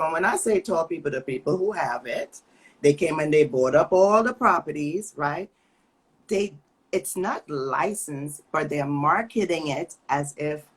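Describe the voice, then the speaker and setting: A woman's voice: on the low side, slightly rough, rough recording. A middle-aged woman with a Trinidadian accent, speaking bluntly and with frustration in a casual livestream chat over a video call. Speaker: cannabis business owner and co-host.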